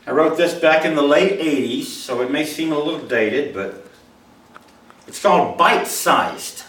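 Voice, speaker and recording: A man, a writer speaking to an audience at a live reading in an expressive way. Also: a little high, a little slow. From Mobile, Alabama, USA. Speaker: writer reading his own short story. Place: indoor room at a live reading event. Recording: roomy, echoey hall, distant microphone.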